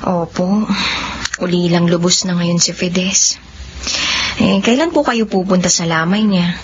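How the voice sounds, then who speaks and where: A woman playing a gentle, composed young blind woman in a Filipino radio drama, speaking politely. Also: fairly low pitch, a little slow, natural voice. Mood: sympathetic. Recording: muffled audio with faint background noise.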